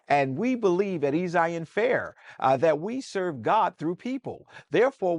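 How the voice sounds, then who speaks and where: A man's voice: on the high side, no room sound. A man with an American accent, a pastor hosting a casual church talk show, folksy and preacherly, often repeating words as he starts a sentence.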